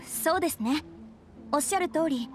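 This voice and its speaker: Refined, hesitant young noblewoman, high-pitched, formal and demure, anime game dialogue with faint music. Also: expressive, normal speed. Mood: pensive. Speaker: bride-to-be of a noble clan.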